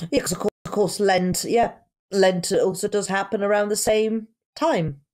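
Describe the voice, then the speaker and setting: A woman's voice: mid-range voice, steady tone, normal speed, darkish tone. A woman co-hosting a casual podcast, thinking aloud in a tentative way. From outside Britain. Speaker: podcast co-host.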